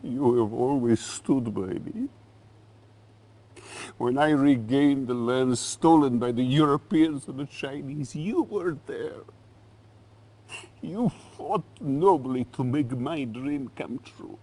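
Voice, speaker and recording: An actor playing a menacing, paranoid middle-aged Soviet dictator, theatrical and commanding, in a game cutscene. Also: male voice, medium pitch, mellow. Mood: brooding, grandiose. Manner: theatrical, slow, deliberate, commanding. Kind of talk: dramatic game cutscene acting.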